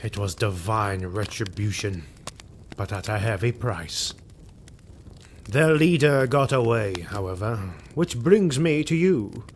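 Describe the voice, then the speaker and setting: Man acting a slow-speaking, grim army officer. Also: on the low side, expressive, a little hiss or hum. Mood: grave. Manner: solemn, formal, deliberate, emphatic delivery. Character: grim, vengeful male Imperial army officer recounting war. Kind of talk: dramatic fantasy audio drama monologue.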